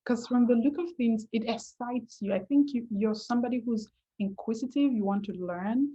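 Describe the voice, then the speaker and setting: A woman's voice: fairly high pitch, normal speed, warm tone, slightly rough, highs cut off. A woman hosting a casual interview, enthusiastic and encouraging and full of praise for her guest. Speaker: interview host.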